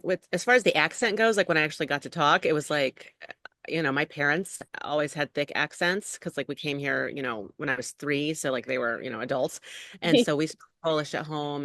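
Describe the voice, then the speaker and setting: A middle-aged woman with a bright, forceful voice talks loosely about her craft as an interview guest. She rambles and fills her sentences with "like" and "you know". The sound is dry and close, with no room around it. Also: deep voice, no echo. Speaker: actor and acting coach, guest. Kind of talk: casual interview talk.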